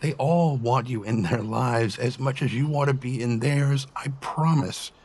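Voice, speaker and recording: An actor playing a gentle middle-aged husband reassuring his partner, tender, earnest and measured, in an emotional drama. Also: medium pitch, slightly rough. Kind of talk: emotional audio drama dialogue.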